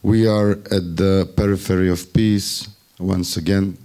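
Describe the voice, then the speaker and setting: Wry low-voiced Bosnian man. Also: flat delivery, unhurried, dark tone. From Bosnia and Herzegovina. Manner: wry, ironic, searches for words. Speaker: festival workshop participant. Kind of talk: spontaneous discussion remarks.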